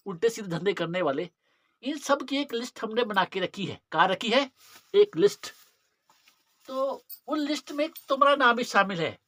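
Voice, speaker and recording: A man's voice: high voice, sharp tone, no room sound, exaggerated voice. A man playing a menacing, cocky police inspector in a dramatic monologue, with a rustic eastern Hindi-belt accent, mocking and drawling, throwing out rhetorical questions.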